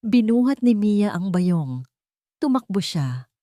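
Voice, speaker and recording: A woman narrating a scripted dramatic story, phrasing it deliberately with short pauses. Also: mid-range voice, very animated, warm tone, no echo, clean studio sound.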